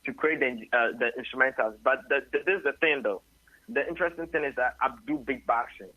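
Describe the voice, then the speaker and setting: Ghanaian man, bright tense tone, dry close sound, rambling guest. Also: medium pitch, brisk, husky, dry recording, poor audio. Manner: rambling, tags sentences with "you know". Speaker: singer-songwriter and label head. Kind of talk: radio interview, casual.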